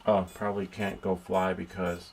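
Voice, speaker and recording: A man's voice: low-pitched, little pitch movement, normal speed, vocal fry, a little mellow. A man giving casual, rambling live commentary as host of an indoor hobby how-to vlog, joking playfully at his own expense and muttering to himself. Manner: playful, self-deprecating, joking. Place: indoors in a house. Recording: constant background noise in room.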